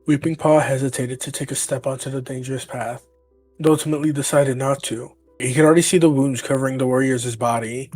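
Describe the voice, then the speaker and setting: A man's voice: mid-range voice, fairly even intonation, a little fast, darkish tone, no room sound, rough recording. Man narrating a story, dry recording. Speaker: narrator and roleplayer. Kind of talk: scripted story narration, third person. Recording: dry, music behind.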